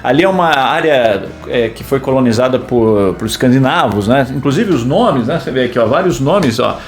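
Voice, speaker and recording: A man's voice: medium pitch, expressive, normal speed. A man co-hosting a casual film talk, explaining things in a playful way and quizzing his co-host. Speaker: podcast co-host. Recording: faint guitar music behind.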